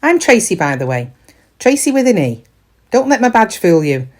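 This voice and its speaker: An actress playing a middle-aged British shop worker in a confiding, wry, conversational monologue, kind but quietly weary. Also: female voice, mid-range voice, very animated, moderate pace. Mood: wry, chatty. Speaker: actor in a dramatic monologue.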